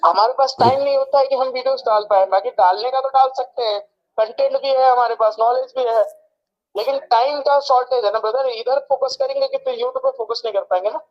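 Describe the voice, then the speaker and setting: A man's voice: very high voice, fairly even intonation, fairly quick. A man on a phone call making casual sales talk, explaining defensively and repeating himself. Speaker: seller of paid channel promotion. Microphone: telephone call. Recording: dull, lo-fi phone call audio.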